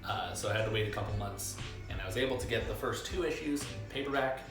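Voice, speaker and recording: A man hosting a casual comic book review vlog, talking haltingly with pauses mid-sentence and wry, self-aware asides. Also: fairly low pitch. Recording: echoey room, music underneath.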